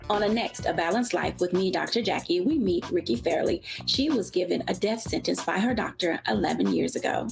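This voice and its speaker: A woman's voice: on the low side, brisk, creaky, few pauses. A woman who hosts a show, reading a scripted promotional narration. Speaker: show host. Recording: music bed under promo voice.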